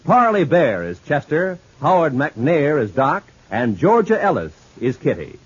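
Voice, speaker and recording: A man works as an old-time radio announcer, reading credits formally with lively swings in pitch. His voice is slightly husky. The recording is dull and muffled, from 1950s radio. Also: unhurried.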